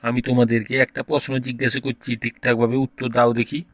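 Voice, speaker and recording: Man acting a brisk, flat-toned bossy school inspector. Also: medium pitch, dry recording, lightly acted. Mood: questioning. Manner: bossy, interrogating. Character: strict, impatient visiting education minister quizzing pupils. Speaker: cartoon voice. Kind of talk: comedy cartoon dialogue.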